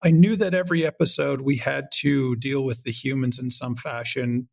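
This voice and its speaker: A man's voice: mid-range voice, fairly even intonation, moderate pace, gravelly, a little mellow. A man speaking casually as an interview guest, explaining his writing and acting out imagined reactions as he goes. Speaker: guest, comedy writer and show creator.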